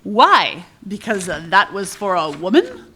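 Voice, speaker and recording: A woman voicing a skeptical business-minded smuggler in a radio play, with dry, sarcastic comebacks. Her bright voice rises and falls widely in pitch. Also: huge pitch swings, a little slow, slightly rough, full character voice. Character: dry, practical female smuggling partner, skeptical. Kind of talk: comic radio play acting.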